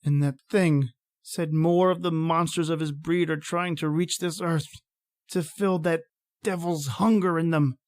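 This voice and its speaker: A man playing a desperate, grieving man in an old-time horror radio drama, overwrought and halting. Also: on the high side, fairly even intonation, a little mellow, dry recording, clean studio sound, natural voice. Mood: grieving, horrified. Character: anguished desperate man, grieving, defiant against a monster.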